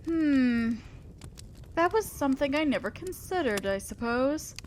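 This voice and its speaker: A woman's voice: high-pitched, expressive, a little hiss or hum. A woman playing an imperious, old-fashioned female demon lord in an audio roleplay, speaking formally and with condescending concern to the listener. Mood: thoughtful, concerned. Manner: commanding, formal, measured, condescending yet concerned. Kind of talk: scripted roleplay monologue addressed to the listener.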